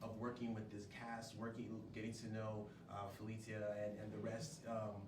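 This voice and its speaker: A man who acts in a stage play, speaking earnestly in an interview with hesitant, halting phrasing. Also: fairly low pitch, completely flat delivery. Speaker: stage play cast member. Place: indoor venue before a studio audience. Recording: echoey room, distant mic, noisy background.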